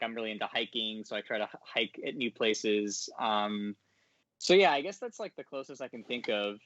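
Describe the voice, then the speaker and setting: A man's voice: fairly low pitch, a bit bright, no room sound, lo-fi sound. A man talking casually as a podcast guest, hesitant and polite in his phrasing. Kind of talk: casual interview talk. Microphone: online call.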